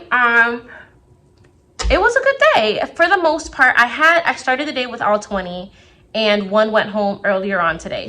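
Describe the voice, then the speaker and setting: A young woman teacher talking casually to the camera from her classroom, her voice a little raspy as it recovers, chatty and full of quick asides. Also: fairly high pitch, bright, forceful tone. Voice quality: slightly raspy. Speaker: second grade teacher.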